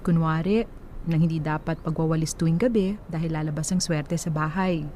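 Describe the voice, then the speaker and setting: A woman playing a young Filipino letter sender, narrating her love story in a confiding, measured way for radio drama. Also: low-pitched, fairly even intonation, dark tone, close to an everyday voice. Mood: reflective, grateful. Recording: faint background noise.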